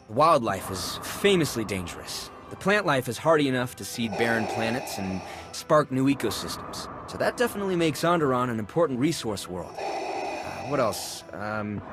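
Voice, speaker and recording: A man's voice: medium pitch, very animated, normal speed, over music, natural voice. A man voicing an earnest, nervous young Jedi apprentice in a video game, with a hesitant, stammering delivery. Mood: eager, informative. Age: young adult.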